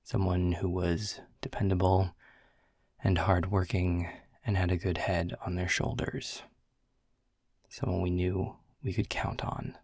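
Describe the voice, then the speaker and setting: A man as a gruff sarcastic boss. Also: little pitch movement, measured pace, darkish tone, no room sound. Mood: gruff teasing. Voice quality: low gravelly husky voice. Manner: sarcastic, grumbling, dry teasing. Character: gruff world-weary male middle manager boss. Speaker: boss giving a farewell speech. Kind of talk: audio roleplay acting. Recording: dry close mic.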